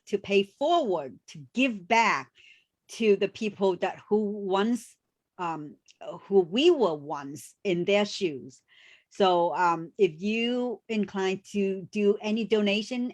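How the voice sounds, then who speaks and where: A woman co-hosting a casual video call chat, speaking with halting phrasing and many restarts. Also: mid-range voice. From refugee background. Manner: warm, halting, frequent restarts and fillers. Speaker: co-host.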